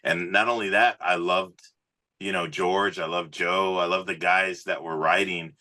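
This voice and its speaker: A man with a low-pitched, forceful voice talks like a musician being interviewed. He is earnest and informal, telling his story in a loose, conversational way peppered with little fillers. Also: steady tone, measured pace. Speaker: musician, singer, podcast guest.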